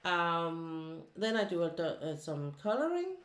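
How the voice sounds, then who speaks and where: A woman's voice: fairly low pitch, sing-song, measured pace, reverberant. A woman from Denmark with a Danish accent, chatting casually with her live stream viewers while she crafts, rambling with many fillers and restarts.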